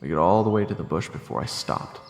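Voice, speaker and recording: A man playing a calm, courteous investigator in an audio drama, measured and low-key, with a creaky voice. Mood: reflective. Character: calm, courteous male investigator telling his own tale. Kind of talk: audio drama dialogue and first-person narration. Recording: echoey, long reverb, music behind.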